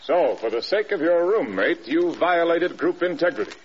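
Husky, commanding American general. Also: male voice, on the high side, animated, moderate pace. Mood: stern. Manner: clipped, commanding, blunt. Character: stern, demanding air force general, man, unyielding commander. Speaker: actor in a radio drama. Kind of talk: classic American radio drama acting. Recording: dull, band-limited old radio recording.

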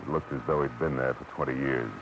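An actor playing a world-weary American private detective, narrating in clipped, slangy 1940s radio noir style. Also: male voice, extremely low pitch, fairly even intonation, fast talker, dull audio, background noise. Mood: brooding. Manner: hard-boiled, clipped, slangy. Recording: old radio drama with music bed.

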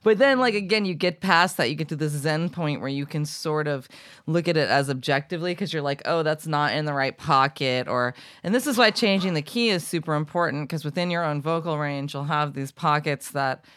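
A woman hosts a podcast about singing and talks in a quick, chatty flow, sprinkling "like" all through her sentences. Her voice sits at a middle pitch and sounds smooth and clear. The sound is dry and close to the mic. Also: a bit bright, no room sound. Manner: chatty, full of "like", sings short demo notes. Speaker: host, singing coach. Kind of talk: casual podcast conversation.

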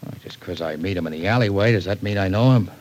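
An actor playing a surly, sardonic American small-time crook in an old-time radio crime drama, talking in tough slang. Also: male voice, sits low, fast talker, darkish tone, natural voice. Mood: gruff, guarded. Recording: noisy vintage radio recording.

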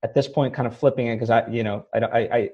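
A man hosting a podcast, asking halting questions full of fillers and restarts. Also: mid-range voice, steady tone, slightly rough, lo-fi sound. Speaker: podcast host. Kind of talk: interview questions.

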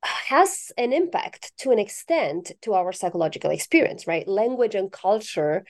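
A middle-aged woman from Italy, a philosophy professor, talks about her work in an interview. Her voice is warm and mellow. She speaks animatedly, with fillers, restarts and sudden lifts of emphasis. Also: medium pitch, big pitch swings, moderate pace. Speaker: philosophy professor, guest author. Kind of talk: academic interview conversation.

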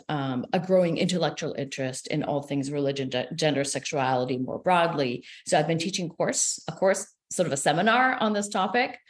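A middle-aged woman from Israel, Hebrew her first language, speaks in a fairly low voice. She talks through her research as an author being interviewed. She is thoughtful, leans on ums, and her pitch rises and falls. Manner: thoughtful, frequent ums, lively pitch swings. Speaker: sociology professor and author. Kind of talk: interview conversation.

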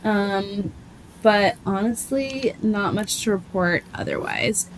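A woman in her twenties co-hosting a podcast, telling stories in a lively casual way and acting out what others said. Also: medium pitch, takes it slowly, slight background noise, low-quality recording. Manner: animated storyteller, acts out quotes. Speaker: podcast co-host. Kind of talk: casual chat.

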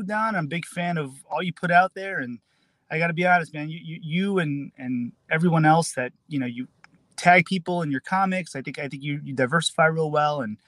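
Fast-talking New man. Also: on the high side, fairly bright tone, dry recording. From New Jersey, USA. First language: Tagalog. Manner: earnest, quick, repeats words. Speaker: guest. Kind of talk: casual interview chat.

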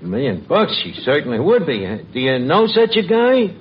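A slangy New York schemer, a working-class tavern wiseguy in an old radio comedy. The voice sits fairly high and moves in lively swings, always sardonic. The recording is muffled, with clear noise over it. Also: male voice, fairly quick. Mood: wry. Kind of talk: 1940s-50s radio comedy acting. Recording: noisy, muffled vintage radio broadcast.

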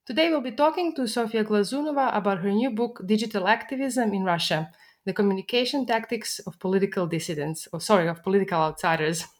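A woman hosting a book podcast, introducing her guest and asking measured questions. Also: mid-range voice. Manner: measured, friendly questions with fillers. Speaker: podcast host. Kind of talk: interview hosting.

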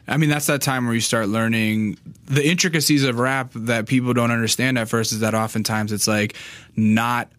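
A man interviews a guest in a loose, conversational way, nodding along with frequent affirmations. His voice sits at an average pitch and sounds dry and close. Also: moderate pace, no echo. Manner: engaged, affirming with steady "yeah", "you know what I mean". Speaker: interviewer host. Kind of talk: casual interview.

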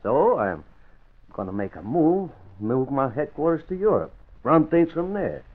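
Commanding crime kingpin, raised pitch, confident, radio drama on a thin vintage recording. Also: male voice, very animated, measured pace. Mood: commanding, sly. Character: bossy, confident male racketeer kingpin giving orders. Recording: tinny old radio recording.

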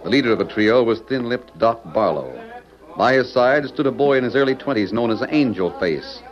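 A man's voice: mid-range voice, expressive, brisk. A man with an American accent narrating dramatically in 1940s radio announcer style. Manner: dramatic, declamatory. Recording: muffled old radio, music behind.

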